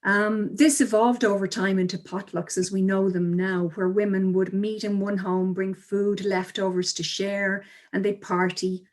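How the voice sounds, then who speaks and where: A woman giving measured, articulate, explanatory answers as an interview guest. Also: mid-range voice, level tone. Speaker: interview guest, cultural speaker.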